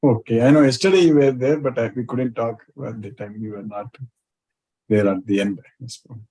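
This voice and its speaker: Husky-voiced man leading an online class. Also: mid-range voice, steady tone, a little fast, mellow, rough recording. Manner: repeats greetings and short phrases. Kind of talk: live question and answer with students.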